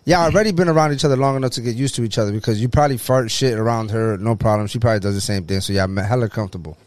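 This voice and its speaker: A man's voice: mid-range voice, very fast, a little mellow, no room sound. A man from Oakland co-hosting a podcast, joking and teasing in a casual chat. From Oakland, USA. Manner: joking, teasing, swears freely. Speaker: podcast co-host.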